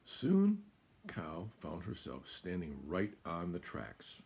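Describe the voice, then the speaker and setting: An older American man reading a children's picture book aloud, deliberate and expressive, with pauses. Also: sits low, gravelly, breathy edge. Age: older, about 70s. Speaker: guest storyteller. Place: small room at home, indoors.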